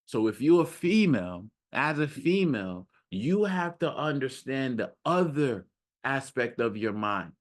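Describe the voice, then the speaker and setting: A man speaking off the cuff like a self-improvement coach, casual and slangy, repeating phrases for emphasis and often checking in with the listener. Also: fairly high pitch. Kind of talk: casual off-the-cuff motivational talk.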